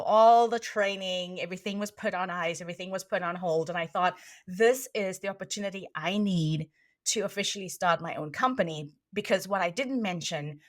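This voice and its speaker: A middle-aged woman from South Africa with a South African accent, a trainer and coach chatting animatedly as a podcast guest. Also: mid-range voice. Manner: animated, laughs easily, repeats words when starting. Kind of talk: conversational interview.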